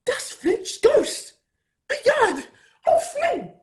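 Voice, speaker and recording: A woman playing a jittery, superstitious pirate in a radio play, speaking in drawn-out melodramatic exclamations. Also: extremely high pitch, big pitch swings, darkish tone, exaggerated voice. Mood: terrified. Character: frightened, superstitious pirate crew member. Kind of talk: stage-style radio play acting.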